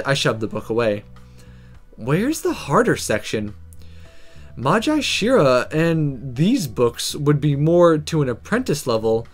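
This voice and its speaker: A man plays through a visual novel, reading it aloud. His pitch moves around a lot as he slips playfully into casual asides and interrupts himself, with game music underneath. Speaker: let's player.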